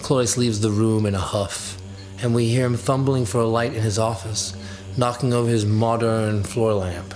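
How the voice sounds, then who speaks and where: A man's voice: on the low side, steady tone, normal speed, a little mellow. A man narrating a literary audiobook in the first person, with dramatic delivery. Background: music.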